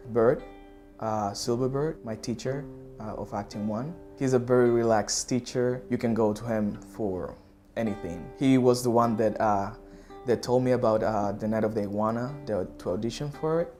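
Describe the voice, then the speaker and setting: A young man from the Republic speaks English, his voice sitting a little low. He talks haltingly in an interview, pausing to search for words. Soft music plays faintly underneath. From Dominican Republic. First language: Spanish. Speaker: theater student.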